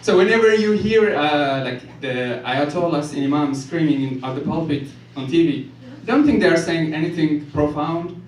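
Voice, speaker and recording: High-voiced Ethiopian-born man doing stand-up. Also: moderate pace, hardly any pauses. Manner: deadpan delivery, laughing at his own jokes. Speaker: stand-up comedian. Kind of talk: stand-up set. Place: comedy club stage with live audience. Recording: echoey club room, from far off, loud noise.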